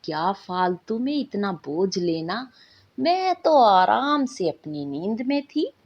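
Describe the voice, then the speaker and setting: A woman's voice: mid-range voice, sing-song, slow pace, dull audio, heavily acted. A woman voicing a lazy, carefree young girl from a magical world in a bedtime story, easygoing and dismissive.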